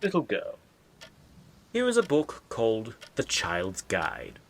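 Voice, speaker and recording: A man's voice: mid-range voice, wildly swooping pitch, takes it slowly, a bit bright, exaggerated voice. A man playing a pompous, preachy, severe clergyman schoolmaster in an audio drama. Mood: solemn, admonishing. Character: stern, sanctimonious clergyman schoolmaster.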